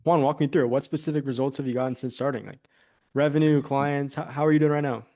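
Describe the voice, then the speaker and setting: A man hosting an interview, upbeat and quick with his questions and affirmations. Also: mid-range voice, fairly even intonation, dark tone. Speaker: interview host. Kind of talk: casual interview.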